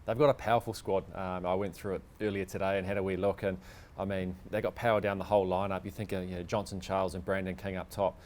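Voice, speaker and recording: A man's voice: deep voice, flat delivery, fast, a little mellow. A man giving casual sports analysis as a panel pundit. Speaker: cricket player and panel pundit.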